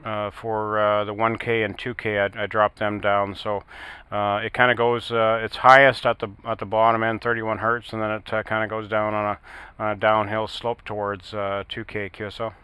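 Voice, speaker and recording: A man speaking as an amateur radio operator in casual technical chat, halting now and then and using radio jargon. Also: fairly low pitch, completely flat delivery, sharp tone, clean tone, no room sound.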